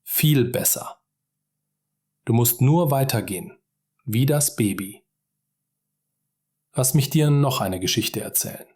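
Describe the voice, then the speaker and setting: A man's voice: mid-range voice, a little mellow. A man narrating a simple story for German learners, speaking directly and encouragingly to the listener.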